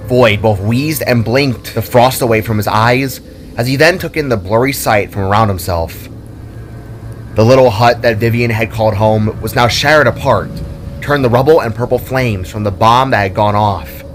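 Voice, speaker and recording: A man's voice: medium pitch, moderate pace, a little hiss or hum. A man reading scripted fiction as a dramatic storyteller.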